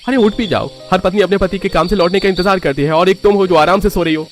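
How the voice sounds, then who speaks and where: A man's voice: sits high, fast, soft background music, voice pushed up, close to an everyday voice. A man voicing a frustrated young husband in a cartoon family drama. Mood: annoyed. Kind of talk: acted cartoon story dialogue.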